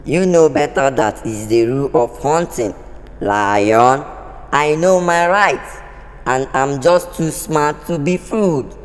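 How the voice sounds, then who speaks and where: A man's voice: a little high, slow pace. Proud, echoing animal hunter played by a man. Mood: stubborn, insistent. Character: lion's male animal hunting friend, proud and stubborn. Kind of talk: storybook character acting. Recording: long hall-like reverb.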